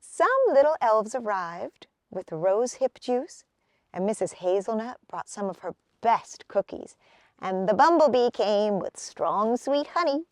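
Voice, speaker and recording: A woman reading a children's picture book aloud as a storyteller, with expressive, deliberate phrasing. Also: mid-range voice, no echo, studio quality.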